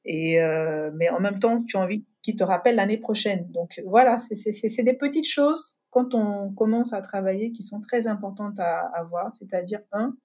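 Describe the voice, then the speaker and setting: A middle-aged woman from Côte d'Ivoire, a guest telling her story in a relaxed interview, with many pauses, fillers and restarts. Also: mid-range voice. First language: not English. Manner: thoughtful, many fillers and restarts, laughs easily. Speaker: podcast guest, company founder.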